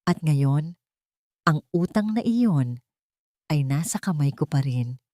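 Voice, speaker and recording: A woman's voice: sits low, animated, slow pace, warm tone, dry recording, studio quality. A woman voicing a menacing, possessive male crime boss with a taunting, domineering manner in an audio drama. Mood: mocking.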